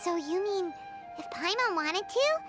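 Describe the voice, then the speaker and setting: A woman voicing a small, childlike fairy companion in a video game, chirpy and excitable. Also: extremely high pitch, a little slow, over loud music. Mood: curious. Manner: speaks of herself in third person, chirpy. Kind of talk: video game character dialogue.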